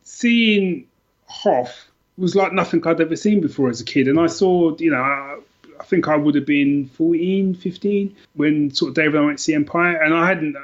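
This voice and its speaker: Higher-voiced man, slightly muffled recording. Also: moderate pace. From London, England. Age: middle-aged. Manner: enthusiastic, rambling, self-repeating. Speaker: podcast guest, author. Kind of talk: casual chat, telling personal stories.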